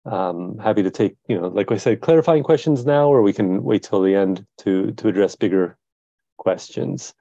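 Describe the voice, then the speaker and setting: A man presenting slides on a panel, talking in a measured way with many pauses and fillers. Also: on the low side, lively intonation, gravelly, warm tone, no echo, highs cut off. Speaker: panelist, climate team leader.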